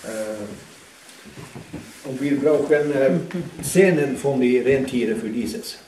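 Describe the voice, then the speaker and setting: A man interpreting for a tour group, halting and hesitant, filling pauses as he searches for words. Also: mid-range voice, dark tone. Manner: hesitant, many fillers and restarts. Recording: echoey room from far off with faint noise.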